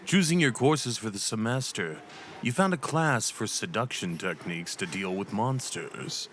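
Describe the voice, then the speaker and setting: A man's voice: on the low side, animated, normal speed, a bit bright, slight background noise. A man reading scripted second-person fantasy narration for an ASMR roleplay. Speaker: narrator and voice performer.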